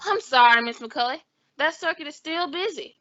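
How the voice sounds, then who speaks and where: An actress playing a gossipy, harried small-town telephone operator, chatty and brisk, in old-time radio style. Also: female voice, high voice, expressive, bright, forceful tone, no room sound, dull audio. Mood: harried, businesslike.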